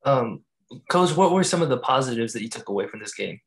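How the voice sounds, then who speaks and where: A man's voice: medium pitch, animated, brisk, noisy background. A man working as a sports reporter, asking press conference questions in a casual, hesitant way.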